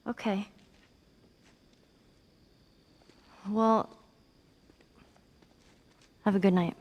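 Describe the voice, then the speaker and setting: A woman's voice: mid-range voice, steady tone, takes it slowly, dull audio. A woman playing a plucky, tender teenage girl in a video game cutscene, talking in a natural, wry, conversational way. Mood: friendly, casual.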